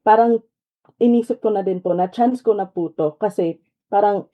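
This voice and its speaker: A woman's voice: mid-range voice, moderate pace. A young woman with a Filipino accent, a radio interview guest calling in, who talks politely and mixes Tagalog and English with frequent fillers. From Philippines. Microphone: phone call. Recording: tinny phone line.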